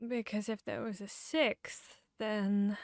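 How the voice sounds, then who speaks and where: A woman playing a confiding, bookish vampire hunter in a fantasy ASMR roleplay, talking intimately with pauses. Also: medium pitch, fairly even intonation, dry recording, studio quality. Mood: focused, reassuring. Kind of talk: ASMR roleplay audio drama.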